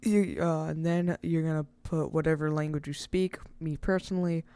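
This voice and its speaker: A casual, rambling speaker hosting an informal step-by-step software tutorial, recorded close to the mic as a voice-over. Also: medium pitch, steady tone, normal speed, clear, resonant tone, dry recording. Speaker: tutorial host.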